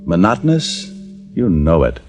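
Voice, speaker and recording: An actor playing a wry, world-weary American private detective in 1950s radio drama style. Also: male voice, sits low, very animated, a little slow, darkish tone. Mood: sardonic narrating. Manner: wry, flippant. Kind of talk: 1950s radio drama acting, first-person noir narration. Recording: old radio broadcast with music.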